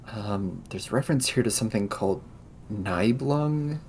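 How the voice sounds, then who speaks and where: A man with a lowish voice plays a tabletop roleplaying game. He talks things through slowly and hesitantly, feeling his way through each explanation. There is audible noise under his voice. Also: expressive, darkish tone. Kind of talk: improvised in-character tabletop game conversation.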